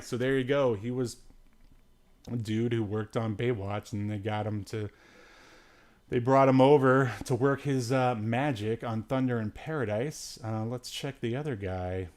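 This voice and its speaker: A man's voice: medium pitch, measured pace, darkish tone. A man hosting a casual livestream podcast, chatting in a rambling, dryly joking way. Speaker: podcast host. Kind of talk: casual solo livestream chat about obscure media.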